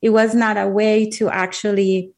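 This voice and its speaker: A woman's voice: mid-range voice, flat delivery, normal speed, a little mellow. A woman from Colombia with a Colombian Spanish accent, speaking English as a second language, earnestly recounting her life as an interview guest. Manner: earnest, emphatic, repeats words while thinking. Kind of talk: heartfelt personal storytelling.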